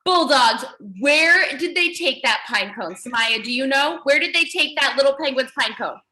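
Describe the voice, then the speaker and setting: Female early-years teacher, high and very lively pitch, animated read-aloud style, encouraging. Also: moderate pace. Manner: animated, encouraging praise and questions; repeats children's answers back. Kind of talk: interactive children's read-aloud lesson.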